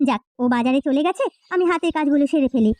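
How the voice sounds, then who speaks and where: A woman voicing a kindly, concerned village housewife in a cartoon folktale, earnest and expressive. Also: high-pitched, quick pace. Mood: homely. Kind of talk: Bengali cartoon folktale voice acting.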